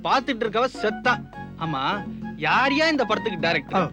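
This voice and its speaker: Sarcastic projectionist, TV comedy drama: high voice, constant complaining, music behind. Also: male voice, expressive, normal speed. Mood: grumbling. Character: grumbling, sarcastic film projectionist. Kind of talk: Tamil television serial comic acting.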